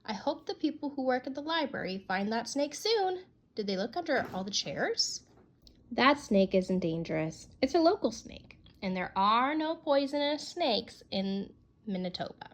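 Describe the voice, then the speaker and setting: A woman's voice: fairly high pitch, big pitch swings, moderate pace. A woman voicing a child who writes a grudging, earnest apology letter, read expressively as a storybook. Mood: contrite, explaining.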